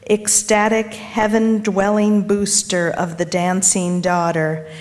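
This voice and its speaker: A middle-aged woman giving a tribute and reciting a poem at a memorial. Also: medium pitch. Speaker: poet and family friend at a memorial. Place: memorial gathering at an art center.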